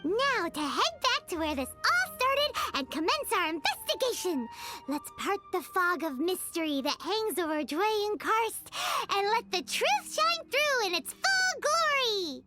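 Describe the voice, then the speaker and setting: A tiny, bubbly fairy sidekick from a video game. The voice is extremely high and squeaky, bouncing around with animated, childlike curiosity. Music plays clearly behind it. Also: female voice, a little slow, exaggerated voice. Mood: determined, chirpy. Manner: refers to self in third person, exclaiming, expressive. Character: small childlike floating fairy companion, bubbly, curious, cute. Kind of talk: video game character dialogue.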